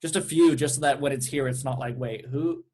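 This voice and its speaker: Guy from a cast chatting casually in a group, average pitch. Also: fairly quick, darkish tone.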